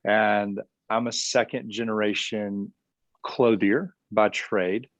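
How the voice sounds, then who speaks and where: A young man from South Carolina with a Southern accent, a guest answering interview questions over a video call in a relaxed, easygoing way with small hesitations. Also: on the low side, slow pace, no echo. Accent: Southern American. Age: young adult. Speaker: guest, business coach.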